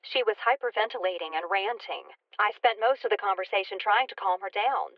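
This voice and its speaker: A woman's voice: mid-range voice, a little fast. A woman playing a concerned actress reporting news over a filtered telephone line in an audio drama. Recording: dull, dry telephone-filtered sound.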